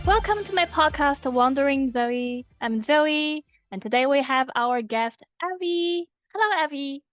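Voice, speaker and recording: A woman's voice: sits high, expressive, measured pace, a bit bright, soft background music. A woman hosting a podcast interview over a remote call, asking short, simple questions. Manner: brief, simple prompting questions. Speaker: podcast host. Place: Cambridge, UK. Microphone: remote call recording. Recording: dry, muffled remote-call audio.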